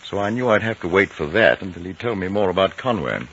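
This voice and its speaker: An actor playing an educated, reflective English man telling a story in a measured literary manner, in an old radio drama. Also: deep voice, animated, fairly bright tone. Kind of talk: 1950s radio drama narration. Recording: dull, noisy vintage radio recording.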